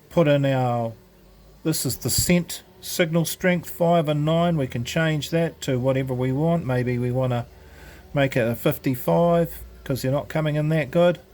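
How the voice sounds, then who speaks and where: A man's voice: medium pitch, unhurried, a little mellow. A man giving an informal, unscripted product tutorial, explaining step by step in a casual, hesitant way. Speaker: presenter of an amateur radio gadget demo.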